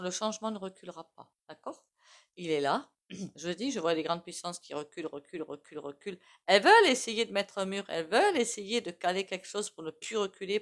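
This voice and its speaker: A woman giving a casual, rambling tarot reading, full of fillers and frequent throat clearing. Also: mid-range voice, bright, forceful tone. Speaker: tarot reader.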